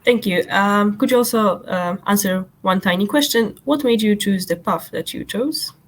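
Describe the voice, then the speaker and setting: A woman's voice: medium pitch, moderate pace. A woman moderating an online panel, polite and slightly hesitant, with frequent fillers, on a video call.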